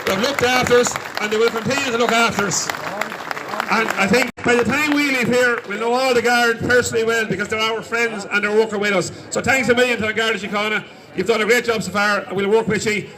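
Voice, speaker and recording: An Irish man speaks to a protest crowd in a friendly, easygoing way. His voice sits high and a little away from the mic, with a crowd close around. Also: steady tone, quick pace, distant mic, poor audio. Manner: friendly, thanking, light. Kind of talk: public address to a crowd. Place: outdoor street protest.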